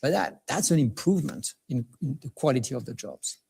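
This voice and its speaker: Man, gravelly, husky, dark voice, halting, repeats words, panel speaker. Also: mid-range voice, expressive. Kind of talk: panel discussion. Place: conference stage.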